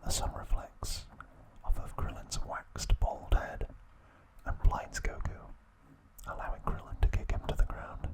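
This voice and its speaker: Whispering man, slow ASMR narration. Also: poor audio. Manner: whispers slowly and softly in short, measured phrases. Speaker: ASMR channel host. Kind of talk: whispered ASMR plot recap.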